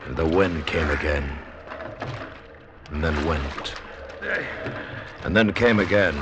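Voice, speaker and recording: A man narrating an old-time radio drama as a grave, deliberate storyteller of the sea. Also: deep voice. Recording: noisy old radio recording, sea sounds behind.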